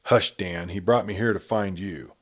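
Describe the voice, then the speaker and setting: A man's voice: deep voice, moderate pace. A man voicing an earnest young woman in a Western story reading, speaking pleadingly.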